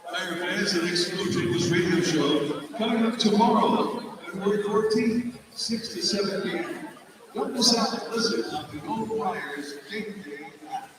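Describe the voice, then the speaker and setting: A man's voice: animated, a little slow, far from the mic. A man reading scripted promotional announcements as a public address announcer in a large indoor arena. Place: large indoor arena over loudspeakers, far from mic. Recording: booming echoey arena PA, loud crowd.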